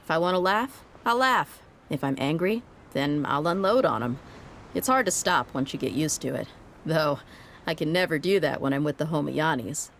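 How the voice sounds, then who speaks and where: A woman's voice: sits low, expressive, normal speed, fairly bright tone, a little hiss or hum. A woman acting a blunt, confident young female mercenary in a video game, with an easygoing, teasing way of talking. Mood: teasing, candid. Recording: music behind the voice.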